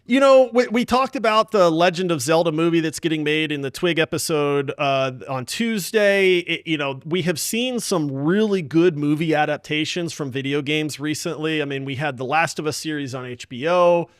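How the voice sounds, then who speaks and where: A man hosting a casual gaming podcast with excitable, hyped-up banter. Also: on the high side, moderate pace, few pauses, clear, resonant tone, dry recording, clean studio sound. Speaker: podcast host.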